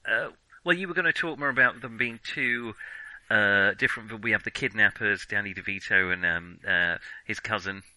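A man casually discussing films as a podcast host, speaking in halting, hesitant phrases. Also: lowish voice, sharp tone, no room sound, poor audio.

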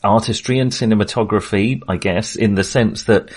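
A man hosting a podcast in a casual discussion style, halting and often searching for words. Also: on the low side, fairly even intonation, a little mellow, breathy edge. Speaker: podcast host.

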